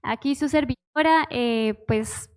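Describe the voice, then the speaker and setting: A young woman from Bogotá gives her testimony in church, speaking with a local Bogotá accent. Her voice sits a little high, and she is shy and giggly, hesitating often with fillers as she talks. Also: slow pace, a little mellow, clean tone. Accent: Bogotá Spanish. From Bogotá, Colombia. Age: 20s. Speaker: church musician, pastor's wife. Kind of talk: personal testimony.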